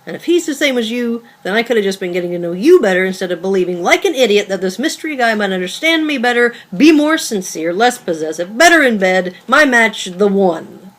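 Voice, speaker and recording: A female actor plays a cutting, evasive girlfriend in a two-hander stage drama. Her voice sits at a middle pitch with a natural, conversational delivery. She comes across as dry, blunt and guarded. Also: expressive, brisk, hardly any pauses, fairly bright tone. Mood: disappointed. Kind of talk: table read of a two-person stage play.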